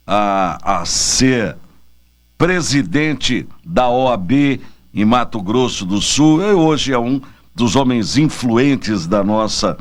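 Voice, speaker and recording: A Brazilian man hosts a casual radio interview. His voice is medium in pitch and moves in wide, animated swings as he chats and asks his questions.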